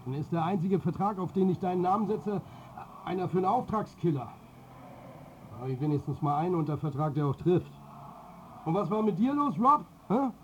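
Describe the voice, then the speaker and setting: A man's voice: sits high, animated, brisk, lo-fi sound, slight background noise. An actor playing a sarcastic, coarse football coach in a radio drama, berating his players. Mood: angry, sarcastic.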